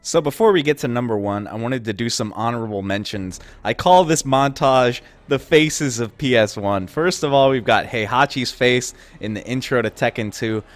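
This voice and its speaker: A man's voice: medium pitch, normal speed, hardly any pauses, dry recording, faint music behind. A young man giving casual, wry commentary over game footage, amused and often laughing at the absurd moments. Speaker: gaming video host.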